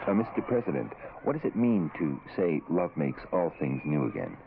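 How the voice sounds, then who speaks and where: A man playing an earnest, puzzled questioner in a scripted radio spot, with a gravelly voice. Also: very deep voice, very animated, moderate pace, heavily acted. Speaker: interviewer in a public service spot. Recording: tinny, noisy old radio recording.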